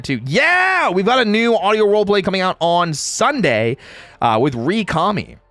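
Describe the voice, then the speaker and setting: A playful man streams to his viewers with a lively, swooping pitch and a medium-register voice. He talks casually and slangily, often repeating phrases for emphasis. The sound is close and dry, with faint music behind him. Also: no room sound. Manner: playful, reads chat aloud and replies. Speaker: livestreamer. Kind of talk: casual livestream chat with viewers.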